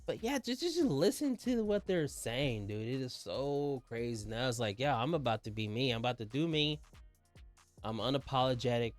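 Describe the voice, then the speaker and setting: A man's voice: medium pitch, lively intonation, normal speed, dry recording. A man hosting a music reaction video in casual, slangy commentary, enthusiastic and often repeating himself.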